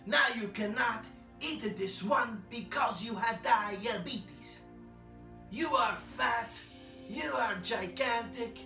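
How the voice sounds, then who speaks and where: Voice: medium pitch, vocal fry, pushed and bright, exaggerated voice. A performer voicing a boastful, nervous male immigrant poet who talks in broken English and preaches in blunt, repetitive lines, in a prerecorded comedy bit. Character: boastful male immigrant poet named Sergio, anxious about deportation. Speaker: comedy character reciting poems. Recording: echoey, distant, music underneath.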